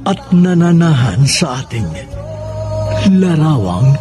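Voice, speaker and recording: High-pitched, theatrical male radio drama host. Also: very animated, slow, dark tone, soft background music. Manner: theatrical, poetic. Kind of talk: sentimental scripted radio narration.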